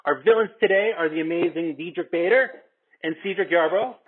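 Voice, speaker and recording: A man's voice: a little high, brisk, over a noisy crowd. A man co-hosting, reading out scripted introductions and narration. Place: remote video call. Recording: tinny, phone-like video call audio.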